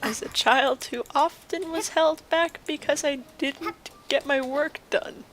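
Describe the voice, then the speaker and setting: A woman's voice: sits high, slow pace, fairly bright tone, a little hiss or hum. A woman streaming a video game with casual, playful commentary, chattering to herself and exclaiming as she plays.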